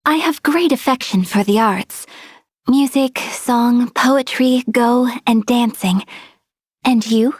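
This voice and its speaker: A young woman playing a refined, gracious noblewoman in a video game, speaking in formal, politely measured diction. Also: on the high side, no room sound, clean studio sound. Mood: composed, reflective. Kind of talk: video game character voice lines.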